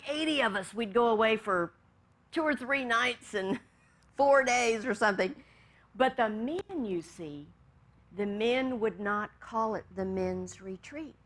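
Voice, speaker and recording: A woman minister from Texas, leading a meditation and then giving a gentle, storytelling sermon with amused asides. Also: a little high.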